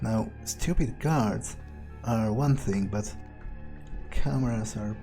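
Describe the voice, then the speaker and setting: A man talks himself through a video game in character, at a slow, deliberate pace. His voice is dark and relaxed, muttered like private thoughts with the odd swear word, over game music. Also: on the low side, vocal fry. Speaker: gamer doing an in-character playthrough. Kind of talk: hushed thinking-aloud game commentary in character.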